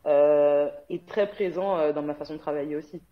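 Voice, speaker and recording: Woman guest from Guadeloupe, slightly low voice, chatty and hesitant, lo-fi recording. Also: normal speed. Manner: chatty, frequent hesitations and laughter. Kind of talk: casual conversational interview. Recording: dull lo-fi sound.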